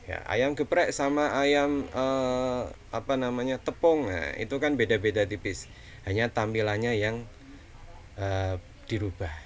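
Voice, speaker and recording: A man with a Javanese accent gives an online class lecture at a middle pitch, easygoing and chatty, often posing a question and then answering it himself. A faint hiss of background noise sits under his voice. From Central Java, Indonesia. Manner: conversational, frequent tag questions and fillers; asks rhetorical questions then answers them.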